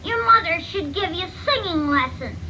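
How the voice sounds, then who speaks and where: A woman voicing a dismissive, scolding marsh bird in a children's storybook, with shrill delivery. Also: expressive, moderate pace, pushed and bright, a little hiss or hum, full character voice, low-quality recording. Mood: mocking. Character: scolding, dismissive marsh birds, mother and babies.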